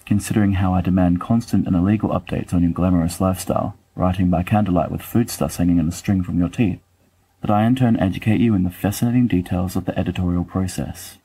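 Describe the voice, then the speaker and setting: Low, husky, wry bookish man acting a letter-writer. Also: dark tone, low-quality recording. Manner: pedantic, dryly self-deprecating, wordy. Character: wry bookish young male letter-writer, fussy and affectionate. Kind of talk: dramatic reading of letters.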